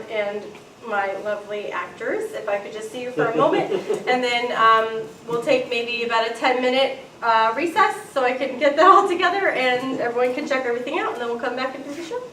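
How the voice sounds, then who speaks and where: A woman organizing a group activity with informal, upbeat, halting announcements. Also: high-pitched, fairly bright tone. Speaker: club officer, event organizer. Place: indoor club meeting room. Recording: echoey room, distant mic, faint noise.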